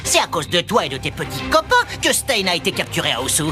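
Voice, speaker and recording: Cartoon villain, reptilian fanatic: mocking, self-important, highly animated, over a music bed. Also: male voice, quick pace. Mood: boastful, fervent. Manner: theatrical, mocking. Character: taunting fanatical male lizard-man villain, theatrical and self-important. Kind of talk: French-dubbed anime acting.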